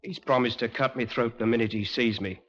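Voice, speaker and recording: An actor playing an insolent, streetwise petty crook in a 1950s British radio drama. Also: male voice, mid-range voice, fairly even intonation, fairly quick, a bit bright, lightly acted. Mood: insolent, defiant. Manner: cheeky, defiant. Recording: dull, lo-fi 1950s radio recording.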